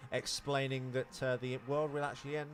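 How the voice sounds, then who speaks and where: A man's voice: mid-range voice, level tone, a little fast. A man co-hosting a podcast, chatting casually about films in a rambling, jokey way. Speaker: podcast co-host. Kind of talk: casual film chat.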